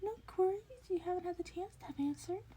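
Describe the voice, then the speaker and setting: A woman's voice: extremely high pitch, fairly quick, dark tone, pitched above the performer's own voice. A woman voicing a small furry animal school principal in an audio story, confident and curious, with polite, formal, probing speech. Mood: prodding curiosity.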